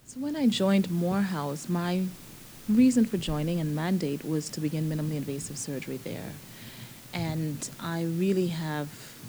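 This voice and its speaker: Low-voiced woman surgeon. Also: warm tone, a little hiss or hum, rough recording. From Bahamas. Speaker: surgeon, interview guest. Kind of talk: conversational interview answers.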